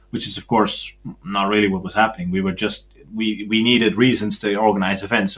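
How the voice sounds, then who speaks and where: A man from Belgium speaks in a fairly low voice as a podcast guest. His delivery is matter-of-fact, and he slips in sardonic asides. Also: fairly bright tone. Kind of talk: casual podcast conversation.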